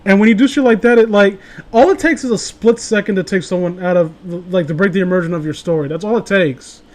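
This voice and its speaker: A man's voice: high-pitched, fast, a little mellow. A man hosting a casual show, reading amateur horror stories aloud and breaking off into rambling, opinionated, profane commentary.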